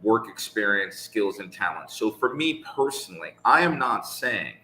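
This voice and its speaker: A man speaking in a casual live conversation, measured and emphatic, making points with rhetorical questions. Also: on the low side, sing-song, sharp tone, reverberant, poor audio.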